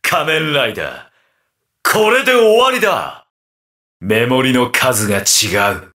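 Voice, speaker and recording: An actor playing a ruthless, mocking undead armored mercenary villain in a video game, with a taunting, theatrical delivery. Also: male voice, fairly low pitch, expressive, measured pace, bright, forceful tone, exaggerated voice. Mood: menacing. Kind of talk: video game battle voice acting.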